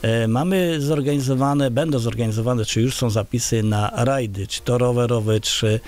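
A middle-aged man speaks into a large studio microphone on a boom arm in a small radio studio. He answers as an interview guest, halting often with filler sounds and restarting his sentences. His pitch sits in the middle range for a man. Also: fairly even intonation, a little mellow. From Poland. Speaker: village head, interview guest.

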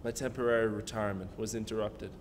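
A man's voice: fairly low pitch, steady tone, quick pace, big-room sound, a little hiss or hum, lightly acted. A young man playing a somber young landlord who narrates in a subdued, reflective way. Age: young adult. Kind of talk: narration and dialogue.

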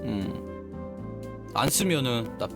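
A man's voice: medium pitch, very animated, extremely slow, creaky. A man hosting a live game stream, playfully mixing casual chat with reading the story aloud. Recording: music playing behind the voice.

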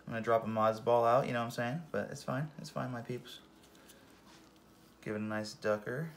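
A man's voice: mid-range voice, steady tone, a little fast, a little hiss or hum. A man in his thirties hosting a goofy home cooking demonstration, joking around and swearing as he walks through each step, recorded on a camera mic a few feet away in a small kitchen. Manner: jokey, swears, keeps saying "freaking". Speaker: home cooking show host. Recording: roomy kitchen echo.

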